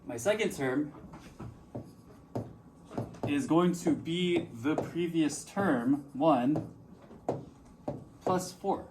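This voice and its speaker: A man's voice: fairly high pitch, very slow, long pauses. Male math teacher heard from afar. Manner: patient, asks the class questions. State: slightly out of breath. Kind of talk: classroom explanation. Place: classroom. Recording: distant mic, noisy classroom.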